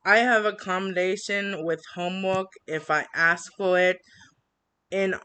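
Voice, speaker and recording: A woman vlogging an upset complaint, halting with many fillers and restarts, reading from written notes. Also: medium pitch, fairly even intonation, bright, forceful tone, slight background noise. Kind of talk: personal complaint vlog.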